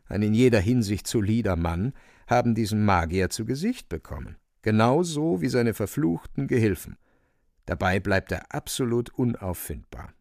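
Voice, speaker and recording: A man's voice: on the low side, gravelly, a little mellow, no echo, studio quality. A man narrating a literary radio play with theatrical, emphatic phrasing.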